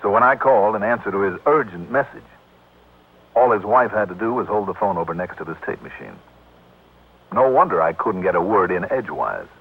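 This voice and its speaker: An actor playing a brisk, sharp, self-assured American insurance investigator in vintage radio drama style. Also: male voice, lowish voice, big pitch swings, slightly rough, full character voice. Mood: confident, explanatory. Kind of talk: 1960s American radio drama acting and first-person narration. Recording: tinny, noisy old radio broadcast.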